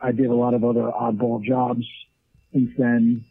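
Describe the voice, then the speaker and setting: A man's voice: mid-range voice, completely flat delivery, moderate pace, husky, dry recording. A man in his late thirties talking casually in an interview, rambling with many restarts and fillers. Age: 30s. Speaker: leatherwork artist guest. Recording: tinny phone line.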